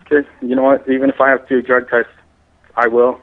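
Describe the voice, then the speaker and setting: A man's voice: mid-range voice, little pitch movement, fast. A man answering questions over the telephone in a defensive, insistent manner. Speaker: prank call target. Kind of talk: answering questions on a phone call. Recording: muffled, narrow phone line.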